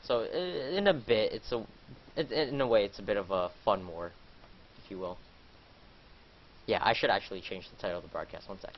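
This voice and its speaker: A man's voice: fairly low pitch, a little fast, long pauses, muffled recording, a little hiss or hum. Nasal, stuffy man casting a game. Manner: rambling, filler-heavy, jumps up for fights. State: congested, a little sick. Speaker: esports caster. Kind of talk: live game commentary.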